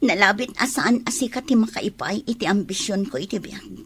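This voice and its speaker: An actress playing a heartbroken, regretful young daughter in a Filipino radio drama, with an Ilocano accent and a husky voice. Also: female voice, medium pitch, lively intonation, fairly quick, a bit bright. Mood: resolute, hurt.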